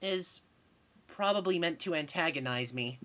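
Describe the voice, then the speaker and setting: A woman voicing a meek, politely apologetic young male troll, halting and unsure, in an amateur fandub. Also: low-pitched, animated, a bit bright. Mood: timid. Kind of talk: amateur fandub audition acting.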